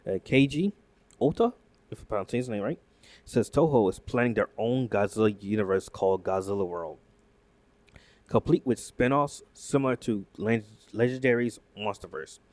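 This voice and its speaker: A man reacting to news in casual commentary, excitable and rambling, full of fillers and restarts. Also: fairly low pitch, normal speed, no echo. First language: not Japanese. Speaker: YouTube commentator.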